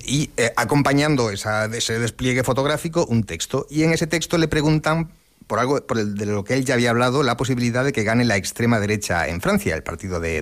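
Male correspondent, mid-range voice. Thoughtful, explanatory, conversational radio analysis with frequent self-rephrasing. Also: mid-range voice. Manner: reflective, explanatory, often rephrasing himself. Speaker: correspondent on French news and sport.

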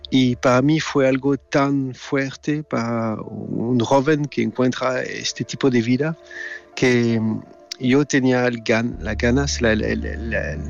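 Halting Swiss man speaking Spanish. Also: mid-range voice, gravelly, highs cut off, faint music behind. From Switzerland. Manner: halting non-native speech with many restarts. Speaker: guest filmmaker. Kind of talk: remote interview.

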